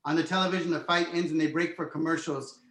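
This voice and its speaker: A man's voice: on the high side, fairly even intonation, quick pace, fairly bright tone. A man reading stage directions as scripted narration for a screenplay table read. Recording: roomy, echoey home recording.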